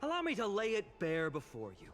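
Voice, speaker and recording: A young orator in a video game delivers a fervent public speech. The voice is high and bright, swinging widely with emphatic, eloquent phrasing. Some ambient noise sits behind it. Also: male voice, wildly swooping pitch, fairly quick, exaggerated voice. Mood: impassioned, accusing. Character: impassioned young male orator addressing a citizens' assembly. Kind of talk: dramatic theatrical game voice acting, public oration.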